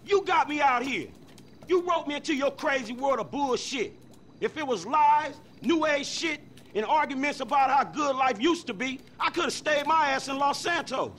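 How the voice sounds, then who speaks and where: An irritated young getaway driver in a game. He talks in slang, pitched high and animated, with faint background noise. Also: moderate pace. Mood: fed up. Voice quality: street slang, exasperated.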